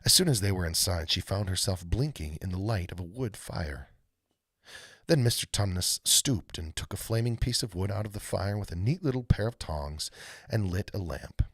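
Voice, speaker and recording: A man reading a storybook aloud as an expressive narrator. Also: low voice, normal speed, husky, no room sound, clean studio sound. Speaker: read-aloud host, storyteller.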